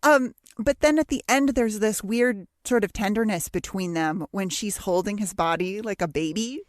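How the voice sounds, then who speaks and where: A woman with a medium-pitched voice speaks in a dry, close-sounding recording. She is a podcast co-host, talking through her analysis in a casual, conversational way. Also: normal speed, dry recording.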